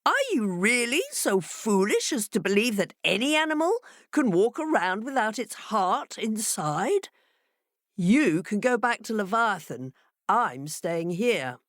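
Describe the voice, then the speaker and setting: A woman's voice: high voice, very animated, a little slow, dry recording, studio quality, pitched above the performer's own voice. A woman voicing a proud, cunning male fox, raised above her own voice. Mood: scornful. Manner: haughty, then sly.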